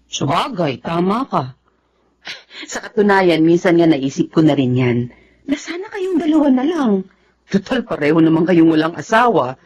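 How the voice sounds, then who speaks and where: A husky woman's voice swings widely in pitch. a nosy, supportive married village friend in a Tagalog radio drama, expressive and full of questions. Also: moderate pace, highs cut off. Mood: agreeing, musing. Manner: expressive, inquisitive.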